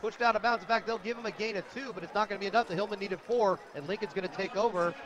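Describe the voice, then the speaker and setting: An American man calling football play-by-play on the radio in an excited, emphatic manner. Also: high-pitched, very fast, a crowd in the background. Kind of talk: live American football commentary. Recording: outdoor stadium broadcast, heavy background noise.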